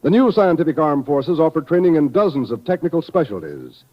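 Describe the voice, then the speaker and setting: Male American announcer, 1950s radio style, polished and emphatic, animated, dull archival sound. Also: fairly high pitch, a little fast. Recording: dull vintage radio recording.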